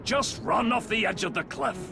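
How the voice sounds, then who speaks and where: A man's voice: sits high, normal speed, gravelly. A man playing an eccentric dwarf tinkerer in a game cutscene, folksy and teasing as he talks. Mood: jovial, sly. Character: eccentric dwarf adventurer, jovial tinkerer and treasure hunter. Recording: loud cinematic music behind.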